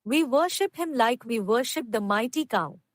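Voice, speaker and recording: Man doing a shrill Indian-accented fan lady. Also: animated, fairly bright tone, dry recording, clean studio sound. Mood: adoring. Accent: put-on Indian. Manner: exaggerated, campy, slow and sing-song. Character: gushing adoring female fan from India.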